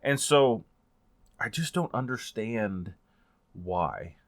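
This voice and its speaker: A man talking casually as a book reviewer in a rambling, informal way that gets animated on points of emphasis. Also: on the low side, takes it slowly, no echo. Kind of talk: casual chatty book vlog.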